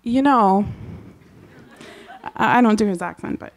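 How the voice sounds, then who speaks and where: Woman from Kansas, first language Urdu, reading her own essay. Slightly high voice, dry humor, clears her throat often. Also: animated, slow, low-quality recording. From Kansas, USA. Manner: reads wryly with offhand asides and frequent throat clearing. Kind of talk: reading aloud a personal essay at a book launch. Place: live literary event.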